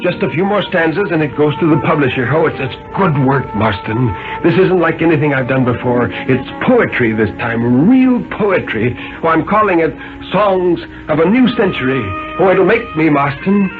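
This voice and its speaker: A man voicing an ardent, boastful minor poet who gushes with breathless excitement in a vintage radio reading. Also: on the high side, moderate pace, hardly any pauses, voice pushed up, lightly acted. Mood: excited, boastful. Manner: gushing, exclamatory. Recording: muffled vintage radio broadcast, music behind.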